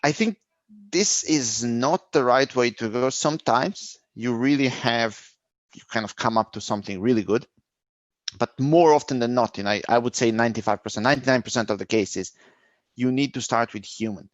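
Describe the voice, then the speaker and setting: Middle-aged man, interview guest, reflective and halting, dry muffled sound. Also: medium pitch, dry recording. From Serbia. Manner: thoughtful, searching for words, frequent restarts. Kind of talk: conversational interview answers.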